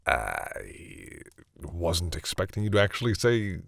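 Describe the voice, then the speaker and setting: A man playing a proud vampire captor who is awkwardly softening, speaking hesitantly and condescendingly to the listener in an intimate audio roleplay. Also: deep voice, huge pitch swings, slow, vocal fry, no echo, clean studio sound, exaggerated voice. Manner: hesitant, sighing, wryly condescending toward humans.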